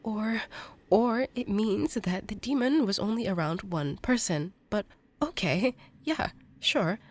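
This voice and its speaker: A woman playing a defensive, stubborn young occultist in an audio drama, speaking informally in halting challenges. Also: mid-range voice, animated, darkish tone. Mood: challenging.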